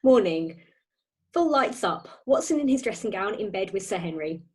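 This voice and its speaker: A woman acts as narrator, reading out terse stage directions for a comic amateur play. Her voice sits at a middle pitch. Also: animated, moderate pace, a little mellow.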